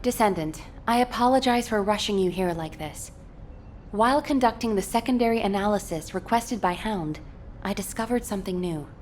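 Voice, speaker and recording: An actress playing a thoughtful, earnest researcher giving a measured, formal briefing in a video game. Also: female voice, mid-range voice, a little mellow, faint music behind, natural voice. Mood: grave, pensive.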